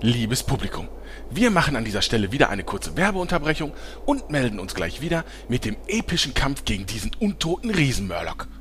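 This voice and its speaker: A man's voice: medium pitch, very animated, brisk, a bit bright, a little hiss or hum. A man playing a greedy, cowardly showbiz agent who presents theatrically in a German parody audio play. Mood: grandiose.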